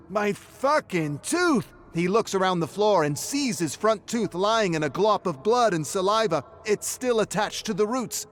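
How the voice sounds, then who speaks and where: Man narrating dramatically over background noise. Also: mid-range voice. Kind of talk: dramatic scripted story narration.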